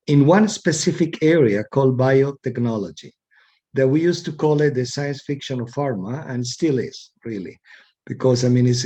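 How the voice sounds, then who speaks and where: A man's voice: medium pitch, mellow. A middle-aged man from Argentina with an Argentine Spanish accent, a guest in an interview, speaking thoughtfully and often repeating words as he searches for phrasing. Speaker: guest, executive coach. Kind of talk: casual interview talk.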